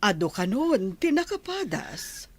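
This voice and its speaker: A woman's voice: medium pitch, big pitch swings, unhurried, husky, full character voice. A woman playing a concerned, insistent middle-aged village mother in an Ilocano radio drama. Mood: persuading.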